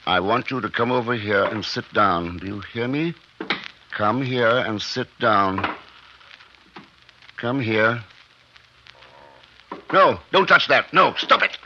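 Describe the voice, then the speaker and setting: An actor playing an obsessive, frightened scientist, urgent and dramatic, in a vintage radio horror play. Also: male voice, medium pitch, lively intonation, takes it slowly, pauses often. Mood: panicked commanding. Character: obsessive, frightened male scientist protective of his creation. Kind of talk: 1940s-50s radio horror acting. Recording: muffled, noisy old radio recording.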